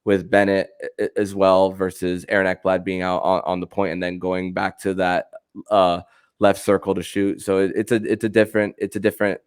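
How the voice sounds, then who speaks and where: Man, deep clear voice, flat intonation, halting repetitive delivery, sports podcast host. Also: no echo, clean studio sound. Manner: halting, stammering, repeats words and phrases. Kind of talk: casual sports talk.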